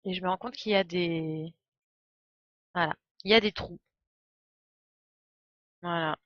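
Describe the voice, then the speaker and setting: A woman's voice: lowish voice, measured pace, dry recording, dull audio. A woman giving casual live game commentary, explaining as she goes with hesitations. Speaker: game streamer.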